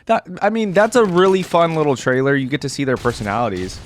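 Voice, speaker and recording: A man's voice: on the high side, big pitch swings, quick pace, slight background noise. A man hosting a reaction video with casual, conversational commentary, thinking aloud and qualifying his opinions as he goes.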